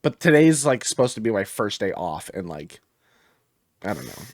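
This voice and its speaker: Animated, slightly raspy podcast co-host man. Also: on the low side, normal speed, no echo. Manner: swears often, laughs easily, animated. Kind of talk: casual chat.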